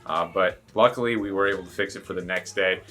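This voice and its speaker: A man with a low-pitched but bright, punchy voice talks casually to his viewers. He rambles along in a chatty way, explaining things like a rally driver hosting his own video. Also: fast talker, faint music behind.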